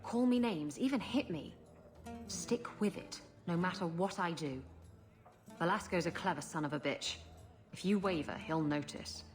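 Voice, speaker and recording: A woman's voice: fairly low pitch, normal speed, soft background music, natural voice. A woman playing a sly, bold rogue with a playful, conspiratorial manner in a video game. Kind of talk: video game cutscene acting.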